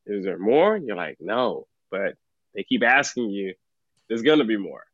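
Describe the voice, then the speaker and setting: Low-voiced middle-aged man telling stories. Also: normal speed, no echo, dull audio. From Trinidad. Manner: relaxed storytelling, laughs often. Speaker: author guest. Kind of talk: casual podcast conversation.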